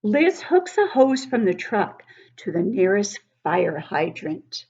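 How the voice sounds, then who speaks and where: A woman reading a picture book aloud to young children in an expressive, explanatory way. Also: mid-range voice, slow, slightly rough, dull audio. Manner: animated, explanatory, child-directed.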